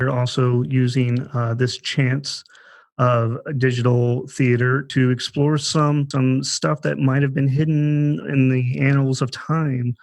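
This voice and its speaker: A man with a mid-pitched voice talks as a podcast host. His delivery is conversational, working through ideas aloud and rewording them as he goes. The sound is dry, with very little room in it. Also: dry recording.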